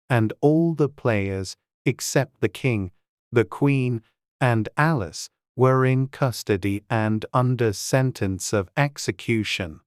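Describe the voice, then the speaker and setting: A man reading a scripted storybook narration in a measured way as an audiobook narrator. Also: medium pitch, clean tone, no echo, clean studio sound.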